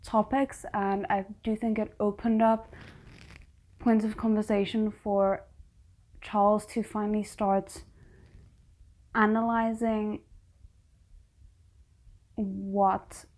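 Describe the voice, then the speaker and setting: A woman's voice: mid-range voice, flat delivery, slow pace, pauses often, a little mellow. Woman reacting to an episode, chatty, lots of ums and restarts, talks it through as she goes. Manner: chatty, thinks aloud with many fillers and restarts. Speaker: reaction video host. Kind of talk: casual reaction commentary.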